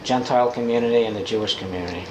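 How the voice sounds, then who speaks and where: A man's voice: lowish voice, racing. A man interviewing someone informally, asking short questions and repeating answers back to prompt the speaker. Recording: echoey, noisy room recording.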